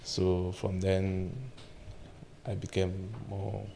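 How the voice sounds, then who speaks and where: Guy in his 20s, kinda low voice, slow halting answers, lots of uh's. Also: completely flat delivery, creaky, mellow, a little hiss or hum. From Cameroon. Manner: halting, simple non-native phrasing with many fillers. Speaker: guest arm wrestler. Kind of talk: casual interview answers.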